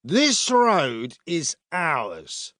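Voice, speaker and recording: A man's voice: a little high, wildly swooping pitch, very slow, a bit bright, no room sound, studio quality, pitched above the performer's own voice, exaggerated voice. A man voicing a drunken, belligerent male Roman soldier in an audiobook, boastful and bullying. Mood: swaggering.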